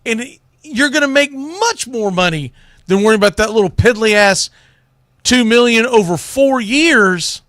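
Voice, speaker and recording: A man with a high, very lively voice delivers sports commentary. His tone is bright and forceful, and he talks in a blunt, folksy, exasperated way, like a radio host on a rant. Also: unhurried, dry recording, clean studio sound. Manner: exasperated ranting, blunt, mildly salty, folksy.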